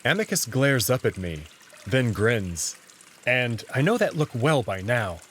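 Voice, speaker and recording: A man reading scripted, expressive first-person narration as the narrator of a visual novel. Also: mid-range voice, measured pace, a little hiss or hum.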